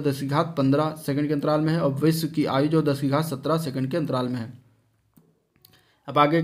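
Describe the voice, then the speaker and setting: Higher-pitched male exam tutor. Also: darkish tone. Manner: halting reading, stumbles over technical terms. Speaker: exam coaching teacher. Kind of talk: lesson reading study notes aloud with brief asides.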